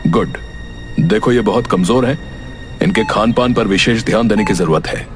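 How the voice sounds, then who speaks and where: An actor playing a grave city doctor in a cartoon story, measured and instructing. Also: male voice, fairly low pitch, lightly acted. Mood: serious, advising. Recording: music behind the voice.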